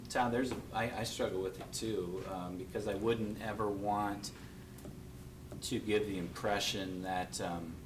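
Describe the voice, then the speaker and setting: A man, a district administrator, explaining options to a school board in a measured way with many fillers. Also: lowish voice, steady tone, recorded from a distance, noisy background. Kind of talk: public meeting discussion. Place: school board meeting room.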